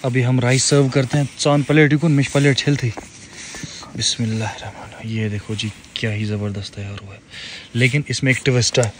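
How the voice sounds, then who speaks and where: A man's voice: mid-range voice, fairly even intonation, a little fast. A young man, talking casually to camera and companions as a camping vlogger. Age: young adult. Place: outdoor campsite and inside a tent. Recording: noisy outdoor phone recording. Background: clear ambient outdoor noise.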